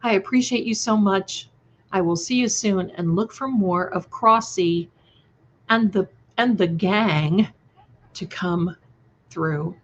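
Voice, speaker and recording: A woman hosting a casual livestream, chatting to viewers in an affectionate, effusive and somewhat halting, scattered way. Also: mid-range voice, slight background noise. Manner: affectionate, effusive, addressing listeners with endearments. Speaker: livestream host and creator. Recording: dull, lo-fi livestream audio.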